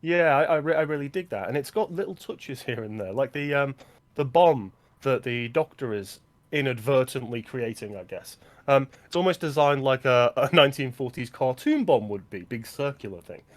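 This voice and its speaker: Guy chatting about movies on a podcast, medium pitch, opinionated and analytical. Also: animated, normal speed. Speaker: film podcast co-host. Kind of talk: casual film discussion.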